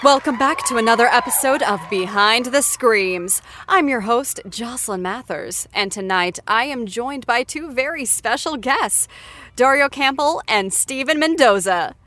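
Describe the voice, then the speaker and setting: A woman's voice: on the high side, animated, a little slow, a bit bright. A woman hosting a playful after-show interview, effusive and teasing with her guests.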